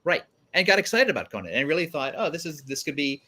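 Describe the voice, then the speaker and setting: A man's voice: on the high side, sing-song, fast talker, pushed and bright. A man guest-hosting a casual panel chat, talking conversationally and acting out little bits of dialogue. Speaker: guest host.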